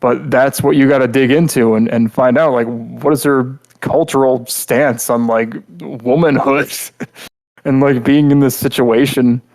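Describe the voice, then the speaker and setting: A man's voice: mid-range voice, fairly even intonation, a little slow, darkish tone. A man co-hosting a podcast, giving casual critique in a rambling, blunt way with lots of verbal fillers. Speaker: podcast co-host.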